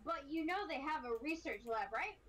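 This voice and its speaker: A cautious, nagging companion in a game roleplay , played by a woman. The voice is high and chatty, with an improvised, everyday delivery. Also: lively intonation, normal speed, fairly bright tone. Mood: cautioning.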